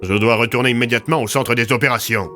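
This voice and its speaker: A man's voice: mid-range voice, quick pace, sharp tone, soft background music, lightly acted. A man playing a brusque, imperious military chief in an audio drama. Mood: impatient. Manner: blunt, curt, imperious. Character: brusque, commanding male military chief recovering in hospital.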